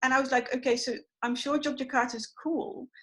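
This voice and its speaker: A woman's voice: high voice, fairly even intonation, fairly quick, fairly bright tone. A woman hosting an online symposium over a video call, chatty and full of small fillers. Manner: chatty, storytelling, many fillers. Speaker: symposium host.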